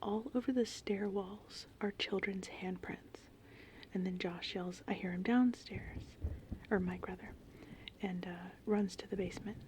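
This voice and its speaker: A woman doing casual, meandering ASMR in a breathy, whispered and softly spoken voice. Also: mid-range voice, measured pace, a little hiss or hum, rough recording. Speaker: ASMR host. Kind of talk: ASMR rambling movie summary. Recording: steady rain and thunder ambience behind. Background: gentle rain and thunderstorm sounds.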